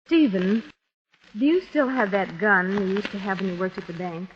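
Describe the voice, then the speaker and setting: An actress playing a curious, eager wife who talks in a chirpy, pressing way, in 1940s radio drama style. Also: female voice, mid-range voice, lively intonation, moderate pace, close to an everyday voice. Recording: muffled old radio broadcast with hiss.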